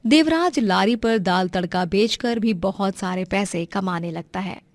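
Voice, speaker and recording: A woman's voice: medium pitch, a little slow, no echo. A woman narrating a scripted moral story as its storyteller.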